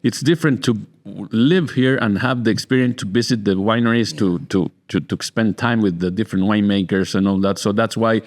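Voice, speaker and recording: A man interviewing casually in a halting way, repeating words as he searches for phrasing. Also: fairly low pitch. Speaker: interviewer, winemaker.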